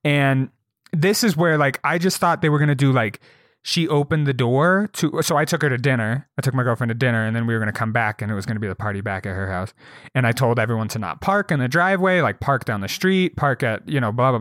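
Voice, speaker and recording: A young man co-hosting a podcast, telling stories animatedly, with lots of fillers and swearing, and jumping up high to act out quotes. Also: a bit bright, no echo, studio quality. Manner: swears freely, many fillers. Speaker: podcast co-host. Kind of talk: casual storytelling chat.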